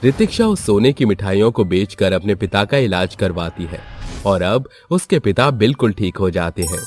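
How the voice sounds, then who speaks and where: A man's voice: on the low side, darkish tone. A man narrating a scripted moral story for children. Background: background music.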